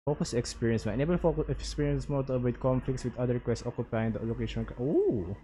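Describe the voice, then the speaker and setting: A man's voice: mid-range voice, expressive, quick pace, mellow, a little hiss or hum. A man with a Filipino accent chatting casually on a game livestream, often repeating words as he thinks aloud. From Philippines. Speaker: game streamer. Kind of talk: casual livestream gameplay chat, mixing Tagalog and English.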